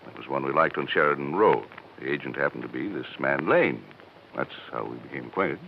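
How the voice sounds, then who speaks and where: Middle-aged insurance broker: very low, swooping pitch, measured and evasive, quick to take offence, vintage radio drama. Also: male voice, lively intonation, gravelly, heavily acted. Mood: guarded. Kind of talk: 1950s radio drama acting. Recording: muffled, noisy old radio recording.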